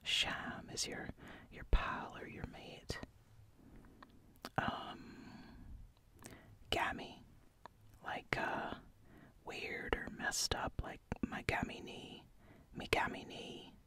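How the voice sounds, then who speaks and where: An ASMR host in a breathy, unvoiced whisper, softly explaining slang with hesitant pauses and repeated words.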